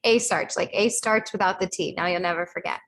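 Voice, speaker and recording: A woman's voice: fairly low pitch, brisk, a bit bright. A young adult woman from Texas, an interview guest telling stories in a casual, chatty way. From Houston, Texas, United States. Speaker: guest singer-songwriter.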